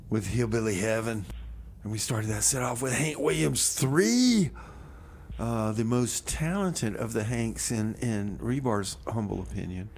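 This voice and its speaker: A man's voice: mid-range voice, a little hiss or hum. A man hosting a music and comedy radio show, bantering casually with rambling, slangy phrasing.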